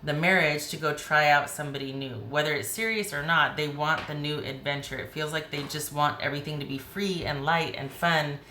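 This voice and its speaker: A woman with a hoarse voice giving a chatty, rambling tarot reading, often restarting her sentences. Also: low-pitched, steady tone, a little fast, hardly any pauses, bright, forceful tone, echoey room, a little hiss or hum. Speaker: tarot reader.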